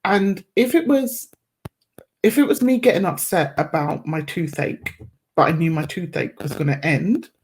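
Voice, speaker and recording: A woman who is a guest on a podcast, speaking in a reflective, measured way and choosing her words carefully. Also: fairly low pitch, a little mellow, slight background noise. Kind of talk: reflective conversational interview.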